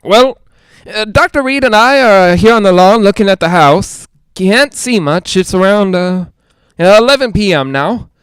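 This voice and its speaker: A man's voice: high voice, lively intonation, measured pace, a bit bright, smooth, no room sound. A man playing a chatty, skeptical radio ghost hunter in a 1940s-style audio drama, with folksy joking patter. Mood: chatty showman.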